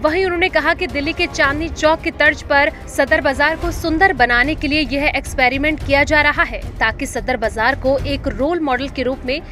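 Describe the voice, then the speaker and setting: A woman reading a scripted news report as a voice-over in a formal, measured way. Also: high voice, pushed and bright, soft background music.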